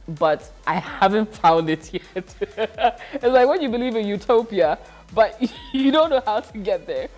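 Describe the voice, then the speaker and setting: A woman's voice: medium pitch, darkish tone. A woman of thirty talking reflectively in a casual vlog, with frequent laughter and fillers. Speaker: vlogger.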